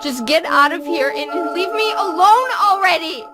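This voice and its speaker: A woman's voice: very high voice, expressive, moderate pace. An actress playing a distraught, defiant teenage girl in a video game, blunt and emotional. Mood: bitter, upset. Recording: loud music behind.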